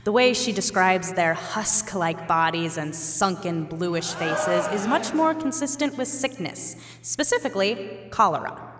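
A woman's voice: fairly low pitch, animated, unhurried, a bit bright. An actress playing an outspoken, sarcastic young female researcher in an audio drama, speaking bluntly and articulately. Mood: matter-of-fact, confident. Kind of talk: naturalistic audio drama acting. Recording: long hall reverb.

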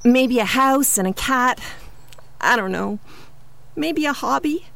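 A slightly high voice as a superhero's scheming girlfriend. She confides in a deadpan, self-justifying monologue for a comic audio play. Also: slow, vocal fry, heavily acted. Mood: wistful, resigned. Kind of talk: confessional monologue in comedic audio drama.

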